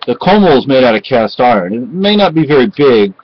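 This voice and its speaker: A middle-aged man from Spain chatting casually at home in a halting, rambling way with lots of fillers, recorded close on a webcam. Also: medium pitch, very animated. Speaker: vlogger. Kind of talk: casual home vlog chat. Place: cluttered home room with bookshelves. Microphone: webcam at close range. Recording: dull lo-fi webcam audio.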